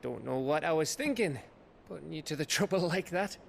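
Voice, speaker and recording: A man acting a blunt, foul-mouthed, loyal working-class outlaw in a video game, with a British accent and gravelly voice. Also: on the high side, expressive, a little fast, a little hiss or hum. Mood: sheepish, grateful.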